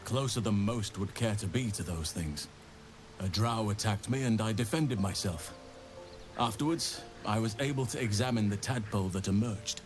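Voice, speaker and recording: A fantasy game druid, acted by a man, speaks in a fairly deep voice with grave, measured formality. He is earnest and learned, a leader carrying old regret, with music playing behind his words. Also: close to an everyday voice. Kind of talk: dramatic fantasy video game dialogue.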